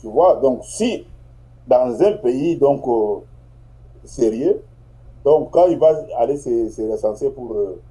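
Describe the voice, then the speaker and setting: Brief: male guest, very dark mellow tone, patient explainer. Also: mid-range voice, animated, slow pace, poor audio. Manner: explanatory, repeats phrases, checks in with "tu comprends?". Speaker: guest commentator. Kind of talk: political talk show discussion.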